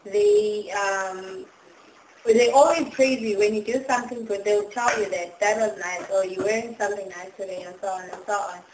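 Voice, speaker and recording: A woman's voice: mid-range voice, normal speed. Woman, from South Africa, student, casual interview style, echoey room, faint background noise.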